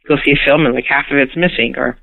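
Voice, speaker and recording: Lively middle-aged woman over a tinny phone line. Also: very deep voice, racing, gravelly. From Canada. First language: Hungarian. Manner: lively, laughing, repeats words when starting sentences. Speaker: guest actress. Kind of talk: casual interview chat.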